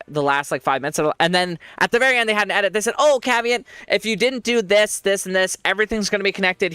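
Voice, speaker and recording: A man's voice: high voice, sharp tone, clean tone, dry recording. A man with a congested voice, giving rambling casual commentary while he plays a game and laughs often. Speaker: streamer.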